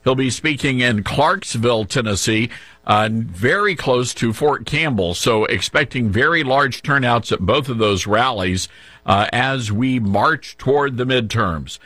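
Bright-voiced male radio show host. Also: mid-range voice, animated, measured pace, no echo. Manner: upbeat, conversational, joking. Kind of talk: radio show hosting and interview.

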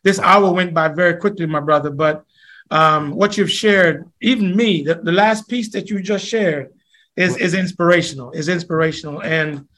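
Jamaican guy hosting his podcast, kinda high voice, laughy, says things twice. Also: moderate pace. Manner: warm, laughs often, repeats phrases. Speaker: podcast host. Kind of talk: casual interview chat.

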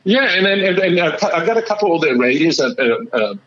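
A man with a slightly raspy voice, a musician chatting excitedly in an interview and often restarting his sentences. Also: a little high, fast talker, highs cut off. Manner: excitable, rambling with many restarts. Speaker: musician guest. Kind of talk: casual interview chat.

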